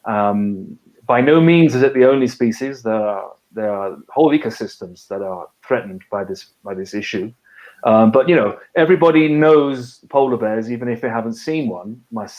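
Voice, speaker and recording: A man's voice: mid-range voice, darkish tone. Hesitant man on a muffled video call. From half British, half French. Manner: hesitant, many fillers and restarts. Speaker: author and conservation biologist. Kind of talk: casual interview over a live video call.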